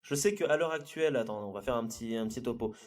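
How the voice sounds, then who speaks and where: An excitable man hosts a casual panel and talks quickly in slangy speech. His voice sounds a little distant, as if he sits back from the microphone. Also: mid-range voice. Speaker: host. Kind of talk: casual chat. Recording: voice a bit far from the mic.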